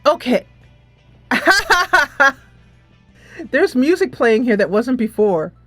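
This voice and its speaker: A woman hosts a gaming playthrough with chatty, expressive commentary. Her pitch moves around a lot, and the sound is close and dry with faint music underneath. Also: very slow, dry recording. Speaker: gaming channel host. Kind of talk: let's play commentary, reading game dialogue aloud.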